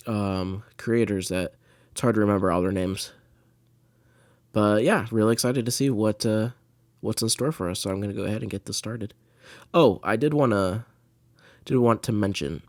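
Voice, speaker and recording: Low-voiced man rambling casually, dry sound. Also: a little mellow, no echo. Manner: rambling, hesitant. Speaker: podcast host. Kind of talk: casual solo livestream chat.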